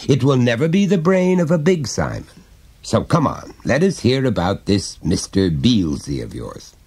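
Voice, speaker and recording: A man's voice: medium pitch, sing-song, moderate pace, a little mellow, husky, voice pushed up. A man reading an audiobook, voicing a pompous middle-aged father who lectures his son in a condescending way. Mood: lecturing. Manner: condescending, explaining at length.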